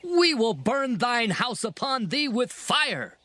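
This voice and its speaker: A man's voice: very high-pitched, big pitch swings, slow pace, pushed and bright, heavily acted. An actor playing a hostile, threatening ancient tribesman, declaiming accusations aggressively in a scripture drama.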